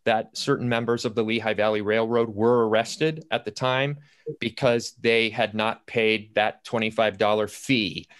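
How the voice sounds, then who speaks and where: Low-voiced man telling stories on a panel. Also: steady tone, a little slow, fairly bright tone, clean tone. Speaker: playwright on a discussion panel. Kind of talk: anecdotal panel talk about writing. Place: indoors at home, on a video call. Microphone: computer video-call audio.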